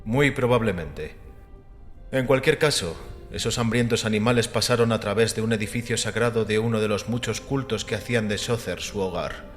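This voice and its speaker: A man voicing a calm, assured and scornful critic of religion, measured and didactic, in a dramatized audiobook. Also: fairly low pitch, lightly acted. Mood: calm, recounting. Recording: long reverberant hall with music behind. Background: music.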